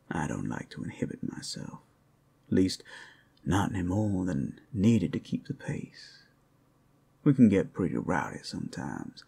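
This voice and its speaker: Man acting a male werewolf, low husky voice, swooping intonation, flirty, folksy, friendly, ASMR roleplay. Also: mellow, exaggerated voice. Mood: teasing, playful. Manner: drawling, folksy, teasing, unhurried.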